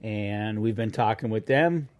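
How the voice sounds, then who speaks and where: A man's voice: fairly low pitch, unhurried, a little mellow. A man co-hosting a hunting podcast in a cabin, chatting loosely and reading promo codes. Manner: chatty, rambling, reads sponsor codes. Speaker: podcast co-host. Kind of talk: casual chat.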